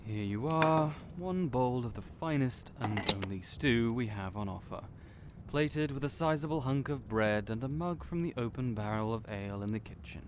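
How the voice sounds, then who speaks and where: A man's voice: medium pitch, lively intonation, normal speed, natural voice. A man playing a friendly, teasing young innkeeper in a fantasy audio roleplay, chatty and playful, speaking right to the listener. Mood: genial, chatty. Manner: chatty, playful, genial storyteller. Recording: noisy storm and fire ambience behind. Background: storm and crackling fire ambience.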